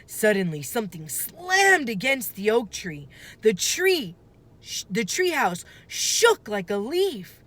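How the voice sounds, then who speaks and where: Woman reading a kids book out loud, takes it slow, lots of expression and drama. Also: a little high, sing-song. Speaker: storybook reader. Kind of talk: expressive children's book read-aloud.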